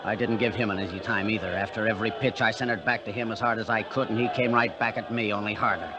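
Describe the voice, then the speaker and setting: Fast-talking, gruff American tough-guy ballplayer, acted by a man. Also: fairly low pitch, steady tone, dull audio, noisy background, close to an everyday voice. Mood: tense recounting. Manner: gruff, slangy, clipped tough-guy talk. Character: hot-tempered, guilt-ridden baseball catcher, tough working man. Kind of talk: 1940s-50s American radio drama acting. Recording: vintage radio recording with music.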